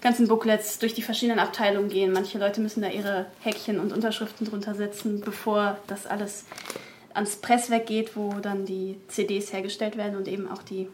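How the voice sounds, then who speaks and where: A woman's voice: mid-range voice, level tone, a little fast, a little mellow. A woman chatting casually as a publishing staff member being interviewed in an office. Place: indoors in an office. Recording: echoey room, faint background noise.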